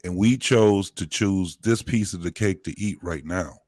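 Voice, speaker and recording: A man's voice: low voice, unhurried, darkish tone, dry recording, studio quality. A man in his forties talking casually but insistently and emphatically on a podcast, repeating himself to be heard. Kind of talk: casual, heated podcast discussion.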